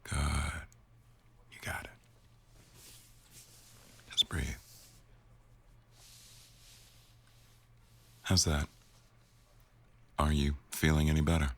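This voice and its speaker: Very deep, gravelly boss played by a man. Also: flat delivery, exaggerated voice. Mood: soothing, calm. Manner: measured, dry, gently teasing. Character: attentive male boss, dry-witted news executive producer. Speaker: audio roleplay performer. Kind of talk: intimate boyfriend ASMR roleplay.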